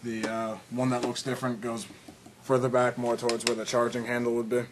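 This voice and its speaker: Man, mid-pitched, casual how-to presenter, loose and rambly, occasional cough, faint hiss behind. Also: fairly quick. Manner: relaxed, rambling, many fillers and restarts. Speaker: video tutorial maker.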